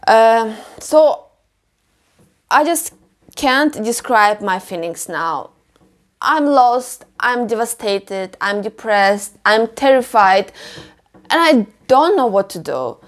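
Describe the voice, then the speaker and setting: A woman from Ukraine, a clothing customization creator, speaking slowly in a halting and emotional way in a personal vlog voice-over. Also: a little high, big pitch swings.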